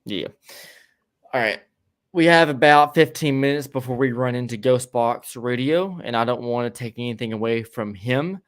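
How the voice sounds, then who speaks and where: A man's voice: mid-range voice, normal speed. A man co-hosting a podcast in loose, rambling casual chat, with casual swearing and lots of restarts. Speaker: podcast co-host.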